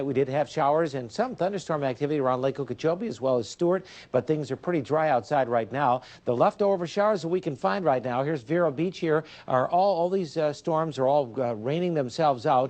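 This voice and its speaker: A man's voice: medium pitch, darkish tone. A man giving a loose, ad-libbed local TV weather forecast from a news studio, full of fillers. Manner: loose, chatty delivery full of "uh" and "of course". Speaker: TV meteorologist.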